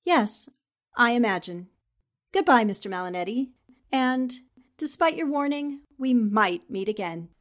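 A woman's voice: on the high side, lively intonation, measured pace. A woman playing a determined, shrewd newspaperwoman sleuth, questioning formally in a 1940s-style radio drama. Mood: cool.

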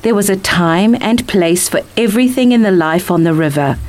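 A woman's voice: medium pitch, normal speed, darkish tone. A woman reading a picture book as scripted story narration.

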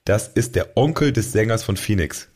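Husky low-voiced man chatting playfully. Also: animated, normal speed, darkish tone. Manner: playful, teasing, mock announcements. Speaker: podcast co-host. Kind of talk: casual joking podcast chat.

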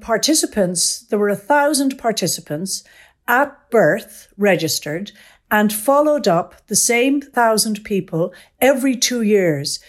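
A woman professor explains science as a guest in an interview. Her voice sits at a middle pitch, and she speaks in an articulate, enthusiastic way. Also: animated, a little slow.

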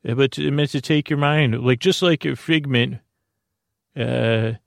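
A man hosting a bedtime podcast in a creaky, soothing voice, rambling haltingly and drifting into tangents. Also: mid-range voice, dry recording, studio quality. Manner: meandering, tangent-prone, halting; lulling, soothing. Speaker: podcast host.

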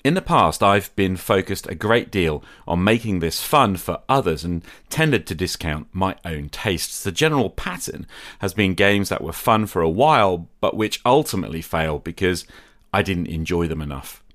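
Deep-voiced man hosting a podcast. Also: animated, normal speed, a bit bright. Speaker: podcast host. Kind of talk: scripted podcast monologue.

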